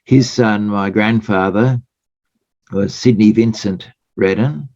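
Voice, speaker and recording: An Australian man answers interview questions in a low voice, measured and hesitant, filling his pauses with uhs. The sound is dry and close, with a muffled, lo-fi quality. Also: a little mellow, no echo. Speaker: guest. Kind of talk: casual interview chat.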